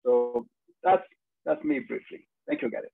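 A man in his forties from Tanzania speaking on a video call as a panelist, halting with many fillers, his pitch jumping high on some words. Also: wildly swooping pitch. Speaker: emergency physician, panelist. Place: room with bookshelves indoors. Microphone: video call with earphones. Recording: rough phone-like video call audio.

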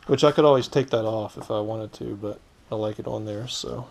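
A man's voice: fairly low pitch, a little fast, gravelly. A man casually walking through his gear item by item, as the host of a hands-on fishing vlog. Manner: informal, explaining item by item. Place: indoors in a cluttered garage. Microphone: head-mounted action camera. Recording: clicky, noisy action-camera audio.

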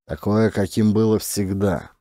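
A man's voice: on the low side, unhurried, dark tone, no echo, studio quality, lightly acted. Man acting a terse, hard-bitten space major. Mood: puzzled, insistent. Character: hard-bitten, urgent male space officer, wry.

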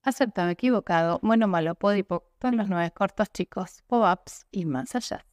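A young woman, as a facilitator, reciting repetitive clearing statements in a steady, rhythmic way. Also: mid-range voice, normal speed, no echo, clean studio sound.

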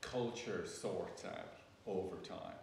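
A man's voice: deep voice, slow pace, gravelly, darkish tone. A man speaking off the cuff in a panel discussion, reflective, in short phrases. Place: indoor gallery event space. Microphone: distant camera. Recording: echoey room from far off, some noise.

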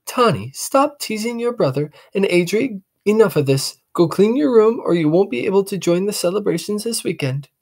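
A storybook reader voicing a mother in a noticeably higher, gentle voice. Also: animated, moderate pace, few pauses. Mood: scolding. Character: warm, proud storybook mother. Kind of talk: storybook read-aloud.

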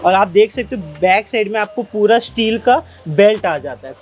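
A man's voice: sits high, expressive, normal speed. Man demonstrating salon chairs. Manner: explains eagerly, points at details, repeats phrases. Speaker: salon furniture seller. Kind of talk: product demonstration. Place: indoor salon furniture showroom. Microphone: lapel mic.

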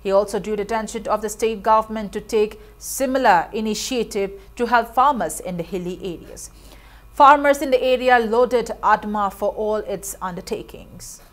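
A woman reads a regional news bulletin in a formal, even newsreader style. Her voice sits at an ordinary middle pitch, and she reads steadily through the scripted report. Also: normal speed. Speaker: news anchor. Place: studio.

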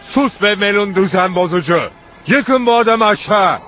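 Haughty, high-pitched angry chieftain. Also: male voice, moderate pace. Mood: furious, inciting. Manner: haughty, commanding, declamatory. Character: angry arrogant male chieftain rousing a mob. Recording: loud music and crowd behind.

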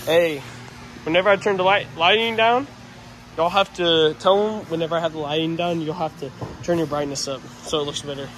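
A young man vlogging on his phone with friends, casual and excitable, shouting over a crowd at a basketball game. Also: a little high, brisk, rough recording. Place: school gym, then packed basketball gymnasium. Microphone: phone held at arm's length. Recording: loud crowd noise in a gym.

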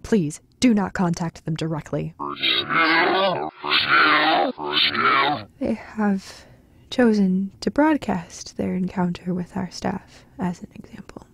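An actress playing a composed, dutiful daughter who hosts a dystopian broadcast in a formal, careful, polite way. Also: female voice, medium pitch, measured pace, creaky, warm tone, husky. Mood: grave, apologetic. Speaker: broadcast host.

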